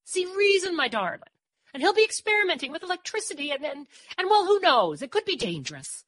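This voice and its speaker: A woman voicing a brisk, skeptical, protective woman who scolds and challenges, in an audiobook. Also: a little high, very animated, pushed and bright, no room sound, voice pushed up, full character voice. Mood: worried, pleading. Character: Mary, sharp-tongued, protective, skeptical woman. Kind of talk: audiobook character voice.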